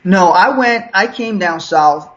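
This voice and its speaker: A man's voice: high-pitched, animated, takes it slowly, a bit bright. A young man from Liberia with an American accent, telling his story casually as an interview guest, with restarts and chuckles. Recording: dull lo-fi sound.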